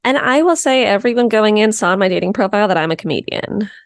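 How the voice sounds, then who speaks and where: Thirties female comic guest, upbeat, quick bursts, dry close sound. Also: mid-range voice, lively intonation, no echo. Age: 30s. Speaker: comedian guest. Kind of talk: casual comedic chat.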